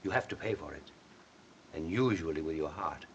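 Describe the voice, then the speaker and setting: A man's voice: very deep voice, animated, moderate pace, muffled recording. A man playing a kindly, wry movie studio boss in an old film, avuncular and plain-spoken. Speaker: actor. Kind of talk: 1930s film acting. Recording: faintly hissy old film soundtrack.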